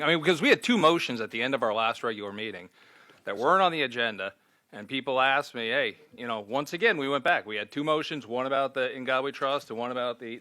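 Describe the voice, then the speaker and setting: A man's voice: mid-range voice, bright, forceful tone. A man speaking as a board member in a government meeting, insistent and argumentative. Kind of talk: formal meeting remarks, arguing a point.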